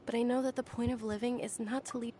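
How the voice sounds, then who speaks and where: A woman voicing a gentle, earnest young dancer in a video game, speaking softly. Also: a little high, little pitch movement, fast talker, noisy background, lightly acted. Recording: game audio with music behind.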